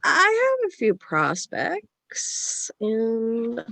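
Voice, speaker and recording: A woman's voice: on the high side, huge pitch swings, extremely slow, vocal fry, bright, forceful tone, full character voice. A woman acting wry, defensive women in a comedy screenplay table read. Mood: defensive, evasive.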